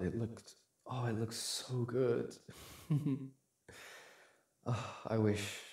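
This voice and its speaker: A man acting as a doting, smitten young boyfriend on a shopping date in an audio roleplay, speaking in a hesitant, halting, affectionate way. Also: on the low side, darkish tone, slightly rough, heavily acted. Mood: tender, smitten. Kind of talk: boyfriend ASMR roleplay, acted one-sided conversation.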